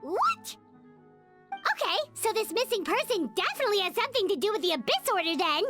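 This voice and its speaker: A woman voicing a small, excitable fairy girl companion in a video game, squeaky and childlike. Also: brisk, faint music behind, exaggerated voice. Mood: startled. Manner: refers to herself in third person, exclamatory. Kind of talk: video game character acting.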